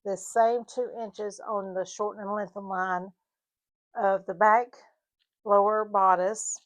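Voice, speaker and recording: A slightly hoarse woman with a Southern US mountain accent, explaining her sewing project in a casual, halting tutorial with fillers. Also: mid-range voice. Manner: halting, with frequent fillers and restarts. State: a little hoarse. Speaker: sewing vlogger.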